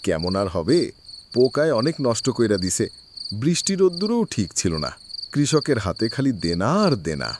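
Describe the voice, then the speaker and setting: Woman voicing a very deep village landlord. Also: sing-song, normal speed, noisy background. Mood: sombre, friendly. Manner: rustic, lamenting. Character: friendly, down-to-earth village landlord, sombre man.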